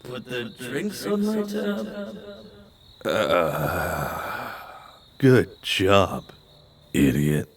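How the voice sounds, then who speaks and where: A man playing an awkward, gruff, hesitant man in an audio drama, with ambient noise behind him. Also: on the low side, very animated, exaggerated voice. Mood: self-mocking. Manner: hesitant, halting. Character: awkward, gruff, tongue-tied man, shy with his crush.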